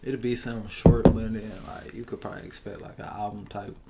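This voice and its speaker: Soft-voiced raspy young man, laid-back. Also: on the low side, steady tone, fast talker, heavy background noise. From Cleveland, Ohio. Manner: laid-back, slangy, trails off with many "like"s. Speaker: rapper, guest. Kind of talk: casual interview chat.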